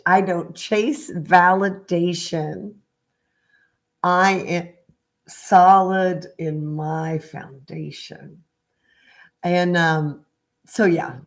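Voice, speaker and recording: A middle-aged woman in a gentle read-aloud style with casual, chatty asides and a halting, unhurried manner. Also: lowish voice. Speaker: spiritual healer reading a picture book aloud.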